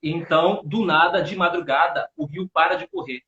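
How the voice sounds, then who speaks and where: A man's voice: fairly high pitch, normal speed, sharp tone. A man in his thirties with a Northeastern Brazilian accent, telling stories as a guest in a casual live chat. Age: 30s. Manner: storytelling, repeats words while searching. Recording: roomy, dull lo-fi audio.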